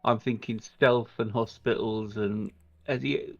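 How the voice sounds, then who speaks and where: Low-voiced man, muffled, music behind. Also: steady tone, measured pace, creaky. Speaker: tabletop role-play player. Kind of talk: improvised in-character tabletop role-play.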